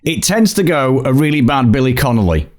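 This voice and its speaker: A man with a lively, swooping pitch that rises and falls as he tells a story. He hosts a casual podcast chat and talks with relaxed, chatty ease. His manner is storytelling, and he throws in bits of a mock Scottish voice. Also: brisk. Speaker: podcast host. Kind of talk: casual banter.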